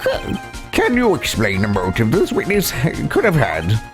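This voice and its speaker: A performer voicing a stern but easily puzzled elderly male judge in a courtroom game, deliberate and questioning. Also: mid-range voice, huge pitch swings, music in the background, voice pushed down. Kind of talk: courtroom video game voice acting.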